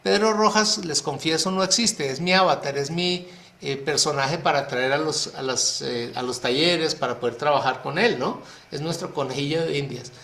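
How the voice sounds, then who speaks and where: A man leads an informal astrology lesson for a private group, walking through ideas one at a time and often asking questions aloud before answering them himself. His voice sits a little high for a man and is full of everyday fillers. The recording carries a noticeable roomy echo. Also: moderate pace, creaky, pushed and bright. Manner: explanatory, many rhetorical questions and fillers. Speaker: astrologer teaching a workshop. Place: online talk for a private group.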